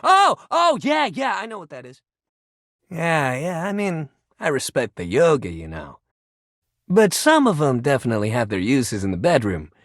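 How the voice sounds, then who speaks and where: Friendly jock dude for a video game, super expressive pitch all over the place, bro-y and soft-hearted, really dry studio sound. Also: male voice, normal speed, no echo. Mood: flustered, flirty.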